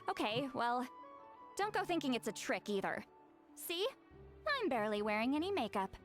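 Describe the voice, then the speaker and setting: A woman's voice: on the high side, very animated, moderate pace, soft background music. A woman playing a proud, prickly game shaman who is called granny but seems young, haughty and theatrical. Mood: defensive, haughty. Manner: theatrical, haughty, huffy sighs and throat-clearing. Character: youthful-looking granny shaman, prickly, proud, easily embarrassed.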